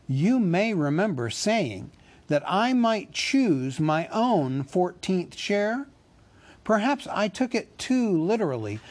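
A man's voice: sits high, darkish tone, voice pushed up, heavily acted. A man voicing a small, fussy, polite male hobbit who answers with prim, wounded dignity.

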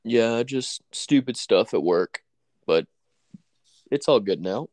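A man's voice: mid-range voice, expressive, slow pace, darkish tone, no echo, clean studio sound. A young man with a stuffy nose from allergies, chatting casually about work and sports, saying "dude" and "bro" a lot. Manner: laid-back, says "dude" and "bro" a lot.

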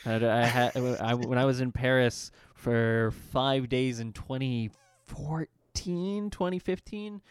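A man's voice: medium pitch, slow pace, clean tone, dry recording. A man hosting a podcast, talking in eager, rambling asides. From Canada. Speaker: podcast host. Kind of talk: casual podcast chat.

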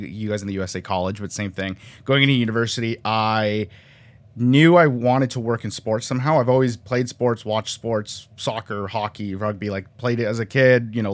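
A man in his twenties from Canada, a guest on a podcast, talking in a quick, chatty way with lots of fillers. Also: fairly low pitch. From Canada, raised near Toronto; born in Colombia. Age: 20s. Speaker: podcast guest, sports cinematographer. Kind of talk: casual interview chat.

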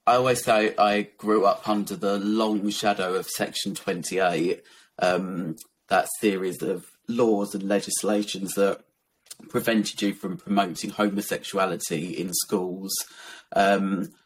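A man from Essex with a soft, gentle voice, a little croaky and tired, talking candidly and reflectively as a guest, with many ums and soft laughs. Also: sits low, fairly even intonation, unhurried, a little hiss or hum, low-quality recording. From Essex, England. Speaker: guest, children's author. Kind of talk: casual interview talk.

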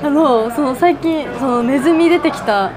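A woman's voice: high voice, moderate pace, loud music behind the voice, low-quality recording. A woman podcast co-host chatting casually but politely, reacting eagerly to her partner. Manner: eager listener with frequent reactions. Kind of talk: casual chat in polite speech. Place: in an oyster eatery where a co-host works. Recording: background chatter of a busy eatery. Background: crowd chatter.

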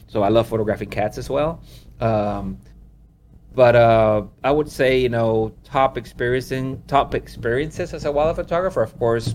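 A man's voice: mid-range voice, a little mellow, a little hiss or hum. A man from Honduras who works as a wildlife photographer, telling stories in a casual interview. He rambles, leans on "you know" and laughs often.

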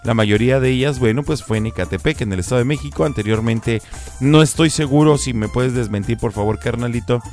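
A man's voice: medium pitch, fairly quick, a little mellow, soft background music. A Mexican man hosting a radio show, chatting casually and playfully with his cousin, laughing often. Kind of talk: casual joking chat.

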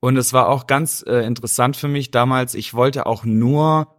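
A man from Stuttgart with a Swabian accent, chatting easily as an interview guest and often pausing with hesitations. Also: mid-range voice. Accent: Swabian German. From Stuttgart, Germany. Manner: easygoing, rambling, many hesitations. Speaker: interview guest, dancer and choreographer. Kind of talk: casual interview chat.

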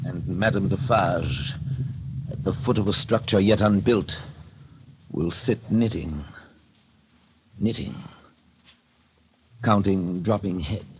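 Low, grave male radio narrator, noisy recording. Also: lo-fi sound. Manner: grave, measured, portentous. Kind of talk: dramatic scripted narration, 1930s radio.

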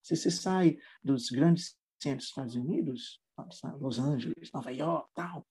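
Male teacher, mellow husky voice, halting conversational delivery. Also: fairly high pitch. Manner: hesitant, many fillers and restarts. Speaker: English teacher. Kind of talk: casual interview talk.

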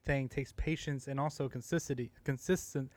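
Laid-back young host, dry sound. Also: male voice, medium pitch, steady tone, moderate pace, a little mellow, no room sound. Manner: laid-back interviewer, asks loose questions, laughs often. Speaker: podcast host. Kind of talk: casual chat.

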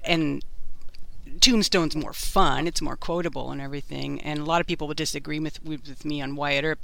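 A man's voice: on the high side, gravelly, sharp tone, smooth. A man co-hosting a podcast, chatting informally and giving his opinions. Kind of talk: casual opinionated chat.

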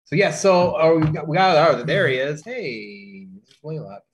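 A man hosting a casual stream, relaxed as he moderates questions for the group. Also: a little high, unhurried, crowd noise. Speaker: stream host asking questions.

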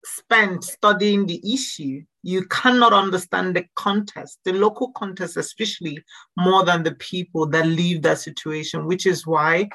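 This is a measured interview host who sums up and thanks a guest. The host talks formally and repeats key phrases for emphasis. The voice sits at a middling pitch. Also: steady tone, pushed and bright.

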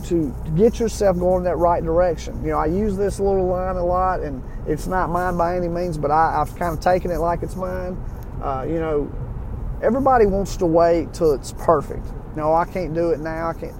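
A man's voice: sits high, darkish tone, a little hiss or hum, poor audio. A man in his forties giving an earnest, folksy motivational talk to his viewers, repeating himself and restarting phrases. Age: 40s. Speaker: motivational vlogger. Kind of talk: casual motivational talk to camera.

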